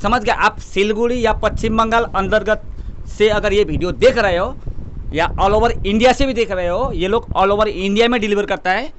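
A man's voice: high voice, moderate pace, a bit bright, a little hiss or hum. A young man from India casually presenting products to camera as a video host, emphatic and repetitive, addressing viewers like brothers. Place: indoor electronics showroom.

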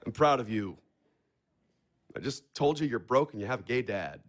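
A man's voice: medium pitch, animated, moderate pace, natural voice. An actor playing a blunt, cynical young man who lectures in a brusque, sardonic way in a naturalistic audio play. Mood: exasperated, blunt. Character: blunt, cynical, caustic young man, pragmatic family fixer. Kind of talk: naturalistic audio theater acting.

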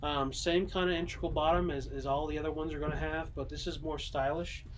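A young man casually showing bowling shoes in a small pro shop, relaxed and full of ums and uhs, heard from a camera a few meters away. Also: a little high, a little fast, slight background noise. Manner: easygoing, many ums and uhs, showing items in hand. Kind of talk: casual product walkthrough. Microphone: camera mic at a few meters.

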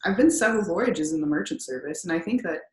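A woman's voice: lowish voice, expressive, fast, a little mellow, echoey room, lightly acted. A woman voicing a polite, earnest young man looking to sign onto a ship.

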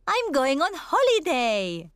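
A woman's voice: high voice, huge pitch swings, slow, background noise, full character voice. A woman voicing a kindly gazelle teacher in a children's cartoon. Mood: reassuring. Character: Madame Gazelle, kindly female gazelle playgroup teacher.

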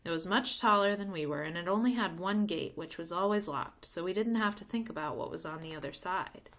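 A woman reading the part of a folksy, gently firm grandmother in a children's storybook. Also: on the low side, a little fast, natural voice. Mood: reminiscing. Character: warm, weary grandmother telling of old times.

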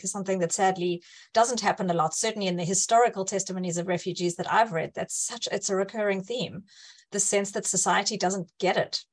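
A woman interviewer and academic, moderating a conversation in a measured, articulate way. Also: mid-range voice.

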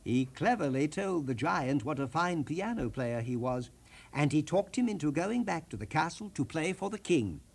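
A man reading a children's story aloud in an expressive, theatrical way, lingering deliberately on the descriptions. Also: fairly high pitch, gravelly. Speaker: storyteller host.

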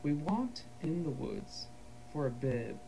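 A man playing a frightened, disbelieving boy witness in an analog horror drama, speaking hesitantly and in a hushed way. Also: a little high, big pitch swings, takes it slowly, slight background noise, exaggerated voice, low-quality recording. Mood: hesitant, fearful. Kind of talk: analog horror found-footage acting.

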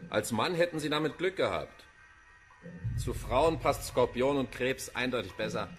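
An actor playing a cynical, erudite middle-aged police pathologist in a German radio crime drama, wordy and dryly pedantic. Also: male voice, mid-range voice, animated, normal speed, soft background music, lightly acted. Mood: wry, teasing. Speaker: forensic pathologist character.

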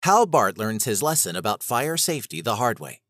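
A man reading a scripted children's story aloud as narrator, in an expressive manner. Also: medium pitch, normal speed, no echo, studio quality.

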